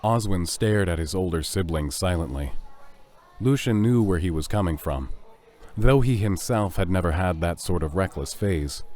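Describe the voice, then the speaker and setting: Deep voiced guy narrating an audio drama, scripted story reading. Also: animated, moderate pace, a little mellow.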